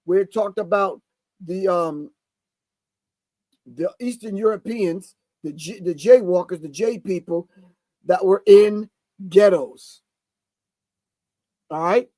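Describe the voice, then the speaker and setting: A man's voice: high-pitched, slow, long pauses, mellow, no room sound. A man in his sixties hosting a show, reacting to a video with emphatic, preachy commentary and repeated key phrases. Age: 60s. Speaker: host.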